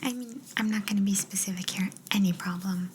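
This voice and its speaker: A woman's voice: mid-range voice, fairly quick, background noise. A woman whispering breathily and playfully as a quirky job interviewer in an ASMR roleplay, close to the microphone. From Canada. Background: writing sounds.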